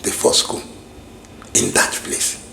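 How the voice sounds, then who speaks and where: A man's voice: slow pace, sharp tone, roomy sound, slight background noise, poor audio. A middle-aged man from Nigeria with a Nigerian English accent, reminiscing in casual talk, rambling with many restarts and repeated phrases. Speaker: vlogger.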